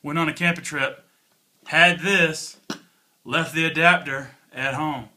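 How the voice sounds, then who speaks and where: A man's voice: a little high, fairly even intonation, slow, background noise. A man giving a casual hands-on product review in an informal, plainspoken, folksy way. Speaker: product reviewer, channel host.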